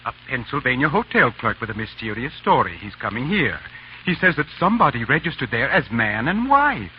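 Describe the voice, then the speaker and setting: An actor playing a flustered, fussy household assistant in 1930s radio comedy. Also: male voice, mid-range voice, a little fast, natural voice. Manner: fussy, excitable. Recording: muffled, hissy 1930s radio recording.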